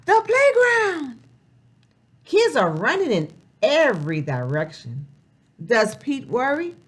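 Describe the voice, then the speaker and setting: Lady doing a kids storytime read aloud, higher voice, swoops up and down, sing-songy. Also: slow pace, husky. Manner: expressive, sing-song, with rhetorical questions. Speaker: children's storybook reader. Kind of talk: animated read-aloud for young children.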